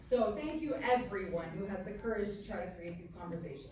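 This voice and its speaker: A woman's voice: medium pitch, fairly quick. A woman working as an event photographer, chatting casually in an effusive, encouraging way. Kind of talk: casual chat, directing a group photo. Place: large echoey indoor event room. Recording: very echoey room, distant, crowd noise.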